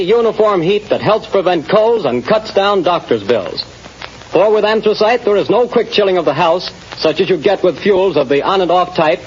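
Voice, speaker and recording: A male announcer reading a scripted commercial in 1930s radio style, formal and emphatic. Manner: emphatic, formal sales pitch. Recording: dull old radio recording, faint hiss.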